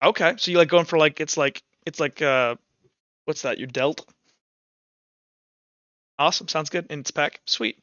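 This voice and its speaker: High-voiced man running a tabletop game. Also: normal speed, long pauses, pushed and bright, dry recording, lo-fi sound. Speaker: game master. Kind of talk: tabletop roleplay narration and casual rules talk.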